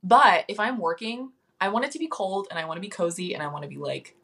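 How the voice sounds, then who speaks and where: A woman's voice: mid-range voice, quick pace, vocal fry, a bit bright, slightly rough. A woman guest on a podcast, chatting casually and self-deprecatingly, with many likes and ums.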